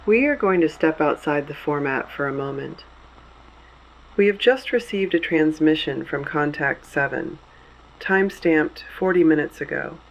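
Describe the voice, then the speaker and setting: A performer playing a solemn, resolute resistance radio host in a scripted dystopian drama, speaking gravely and deliberately in short phrases. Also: mid-range voice, expressive, lightly acted, low-quality recording. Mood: grave, urgent.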